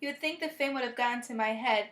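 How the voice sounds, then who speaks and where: A gracious 1950s sitcom actress played by a young woman answers as an interview guest. Her voice sits a little high and sounds earnest and rehearsed. The room rings with echo around her. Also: fast, a bit bright, natural voice. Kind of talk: scripted mock television interview. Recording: echoey room, student recording.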